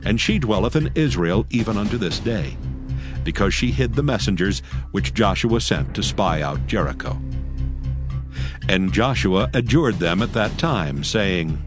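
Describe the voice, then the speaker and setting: A man narrating scripture in archaic English as a scripted, measured, solemn reading. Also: lowish voice, lively intonation. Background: music.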